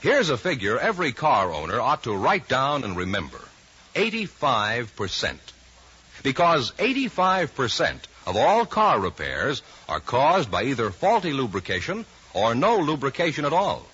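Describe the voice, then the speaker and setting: An American man announces in polished, emphatic fifties radio style. His voice is bright and very rough-edged, with animated, swooping intonation. It comes through a lo-fi vintage broadcast. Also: medium pitch, normal speed. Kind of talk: 1950s radio announcing, scripted sponsor reads.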